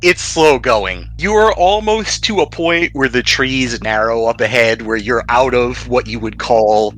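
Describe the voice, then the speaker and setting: A man's voice: mid-range voice, a little slow, a bit bright. A man working as game master, narrating a tabletop role-playing game for his players. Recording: music playing behind the voice. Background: background music.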